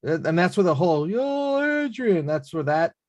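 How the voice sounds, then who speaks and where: A man's voice: sits high, very animated, normal speed, no room sound. A man chatting casually on a video call, laughing easily and reflecting on the film. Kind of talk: casual online chat.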